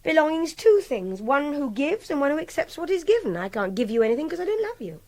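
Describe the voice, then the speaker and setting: High-voiced, spirited young Englishwoman. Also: sing-song, quick pace, darkish tone. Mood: exasperated, frank. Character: spirited young female art student held captive. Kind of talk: radio drama acting.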